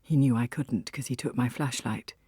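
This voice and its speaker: Low, husky woman narrating fiction. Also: normal speed, mellow, no room sound, studio quality. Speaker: audiobook narrator. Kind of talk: first-person literary story narration.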